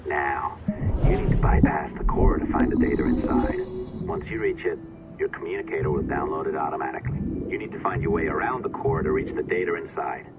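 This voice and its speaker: A man's voice: rumbling low, brisk. A man playing a chummy, conspiratorial IT technician giving casual instructions over a communicator in a video game. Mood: instructive, encouraging. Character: sly, chummy IT technician coaching a hacker. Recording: dull, very noisy radio transmission.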